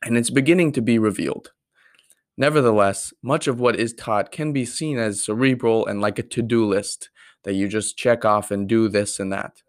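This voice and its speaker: A man with a fairly low voice hosts a podcast, talking earnestly and reflectively. His sound is dry and close on the mic. When he asks questions he halts often, with fillers and restarts. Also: normal speed, no echo. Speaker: podcast host. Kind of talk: podcast intro and interview.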